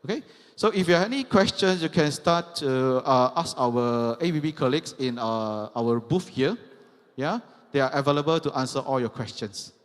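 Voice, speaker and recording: A man's voice: mid-range voice, lively intonation, moderate pace. A man giving a technical trade-show presentation, explaining step by step and checking in with "yeah" and "right". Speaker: conference presenter. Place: large exhibition hall. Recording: long hall reverb.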